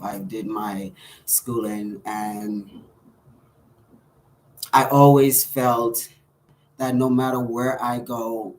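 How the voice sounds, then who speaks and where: A man's voice: lowish voice, darkish tone, a little hiss or hum. A man in his thirties with a Bahamian accent tells a personal story to camera in a candid, hesitant way. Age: 30s. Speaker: vlogger.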